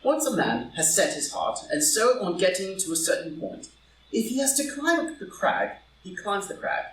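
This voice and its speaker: Man playing urbane English lord, loyal friend, halting phrasing, very varied pitch, distant noisy recording. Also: very animated, a bit bright. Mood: hesitant, tactful. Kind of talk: live group reading of stage comedy. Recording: roomy, distant, noisy live group recording.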